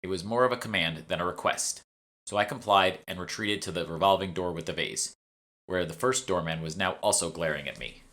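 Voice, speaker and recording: A man's voice: deep voice, brisk, pushed and bright. A man reading a first-person audiobook narration from a script. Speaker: author reading own audiobook.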